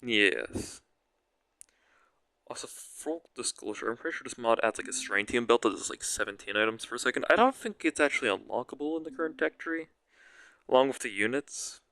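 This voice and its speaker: A young man with a raspy voice, casually commenting on a factory-building game as he plays and rambling, self-mocking, while he thinks aloud. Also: medium pitch, normal speed, bright, forceful tone, dry recording. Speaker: gaming commentator.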